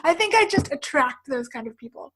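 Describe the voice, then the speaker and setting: A woman hosting a casual podcast interview. Her voice is high and bright, and her tone is chatty. She sounds encouraging toward her guest. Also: very animated, a little fast. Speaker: podcast host.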